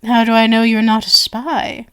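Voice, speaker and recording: A woman's voice: on the high side, level tone, moderate pace, smooth, lightly acted. A woman playing a sinister stage magician in an ASMR roleplay, with a genial, theatrical, flowery manner that coaxes and drifts toward whispers. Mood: sly, guarded.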